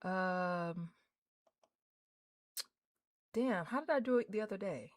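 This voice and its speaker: A woman livestreamer chatting casually with her viewers in an excitable, slangy, informal way. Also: mid-range voice, takes it slowly. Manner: excitable, hyped, effusive; slangy, informal, drops swearing in. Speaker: livestreamer hosting a game stream.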